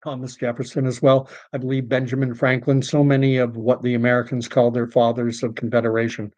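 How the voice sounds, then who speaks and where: A middle-aged man hosting a late-night livestream, rambling and opinionated as he reads and answers viewer chat, his voice tired and getting hoarse. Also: mid-range voice, level tone, fairly quick, a little mellow. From Canada. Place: home streaming setup.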